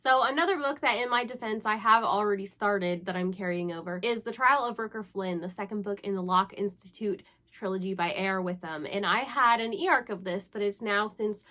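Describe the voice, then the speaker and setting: A woman's voice: mid-range voice, brisk, hardly any pauses, bright, forceful tone. A young woman with a congested voice from the flu, chatting casually and upbeat to camera about her reading plans. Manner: chatty, upbeat, self-teasing. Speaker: book vlogger.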